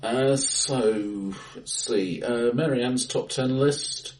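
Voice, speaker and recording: A man co-hosting a casual film discussion, talking in a measured, analytical and somewhat hesitant way. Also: medium pitch. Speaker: podcast host. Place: indoors, reverberant room, face to face. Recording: echoey bright room, distant mic.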